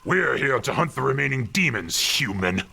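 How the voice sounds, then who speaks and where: An actor playing a gruff, proud, scornful warrior creature in a video game, with a deep, raspy voice. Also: male voice, very animated, moderate pace, sharp tone, full character voice. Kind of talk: video game cutscene acting.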